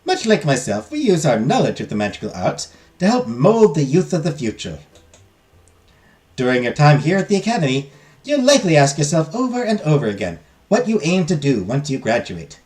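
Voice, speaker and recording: A man's voice: on the high side, huge pitch swings, fairly quick, darkish tone. Iselin Arcane, a man doing a lecturing magical-girl teacher. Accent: put-on Spanish-like accent. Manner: formal, lecturing, dramatic. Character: prim female magical-girl academy teacher. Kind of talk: reading game dialogue aloud.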